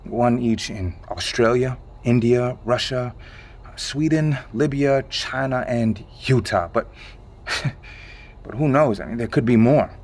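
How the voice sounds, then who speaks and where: An actor playing an evasive male insider who calmly explains a secret catastrophe in an audio drama, in a measured, matter-of-fact way. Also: mid-range voice. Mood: grave, measured.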